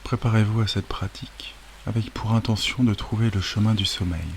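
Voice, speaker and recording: Man, lowish voice, calm, soothing, unhurried, long pauses, guided sleep meditation, soft ocean wave noise behind. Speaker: yoga nidra guide. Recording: steady wave noise over the voice. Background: sound of ocean waves.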